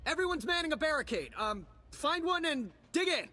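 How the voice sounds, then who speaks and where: An actor playing a jittery, awestruck young accountant turned militiaman in a video game, excitable and flustered. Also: very high voice, normal speed. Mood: flustered awe. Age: young adult. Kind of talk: video game cutscene acting. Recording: loud background noise.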